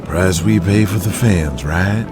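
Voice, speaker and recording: A man voicing a huge, laid-back wrestler in an audio drama, with a low, relaxed drawl. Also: takes it slowly, music in the background. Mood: easygoing.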